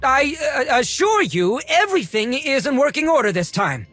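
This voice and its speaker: An actor playing a fussy, haughty collector and droid restorer in a video game, with a prim, formal manner. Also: male voice, very high voice, very animated, normal speed, fairly bright tone, heavily acted. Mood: fussy, businesslike.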